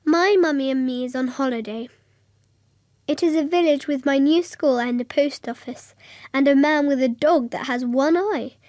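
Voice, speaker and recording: Woman acting an earnest little schoolgirl, high-voiced. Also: moderate pace, darkish tone, no room sound, studio quality, lightly acted. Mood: earnest, dreamy. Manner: naive, literal, childlike phrasing. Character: young schoolgirl, earnest, imaginative, innocent. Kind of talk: radio drama narration.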